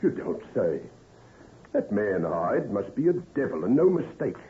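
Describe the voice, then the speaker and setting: An actor playing a blunt, nosy working-class police officer, gossipy and plain-spoken, in an old-time radio drama. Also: male voice, fairly low pitch, sing-song, a little slow, slight background noise. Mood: appalled, gossipy. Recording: tinny, band-limited vintage radio recording.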